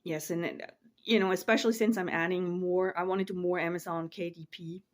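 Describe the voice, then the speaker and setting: Woman, 40s, from Switzerland, low-pitched, casual vlog chat, rambling, repeats herself, home office. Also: fairly even intonation, fairly quick. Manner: rambling, repeats words, trails off. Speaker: online reseller and vlogger.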